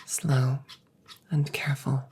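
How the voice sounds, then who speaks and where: A woman voicing a gentle, protective damaged android soldier in a scripted ASMR roleplay, speaking breathily, often in a whisper, with slow, careful, formal phrasing. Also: a bass voice, little pitch movement, a little hiss or hum, exaggerated voice. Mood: calm, reassuring.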